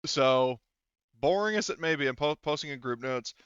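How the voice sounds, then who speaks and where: A man's voice: mid-range voice, lively intonation, normal speed, a bit bright, clear, resonant tone, no echo, lo-fi sound. A man casually running a tabletop roleplaying game as game master, chatting informally and swearing freely. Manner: informal, profane.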